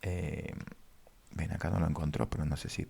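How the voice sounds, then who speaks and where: A man teaching a database lesson over a screen share, speaking haltingly with many fillers. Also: rumbling low, fairly even intonation, creaky, dark tone, husky. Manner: halting, many fillers, addresses viewers formally. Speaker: database instructor.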